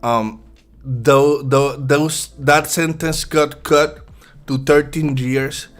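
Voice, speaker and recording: Slow, halting Puerto Rican man. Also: fairly high pitch, steady tone. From Puerto Rico. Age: 30s. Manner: halting, soft-spoken, many restarts. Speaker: futures trader guest. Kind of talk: live-stream chat while trading.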